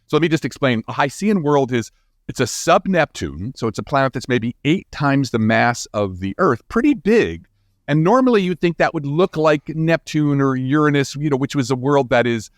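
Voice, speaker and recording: Guy doing an excited casual science explainer, voice swings up and down a lot, close dry sound. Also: medium pitch, no room sound. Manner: informal, enthusiastic. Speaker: guest scientist. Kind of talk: animated conversational science explanation.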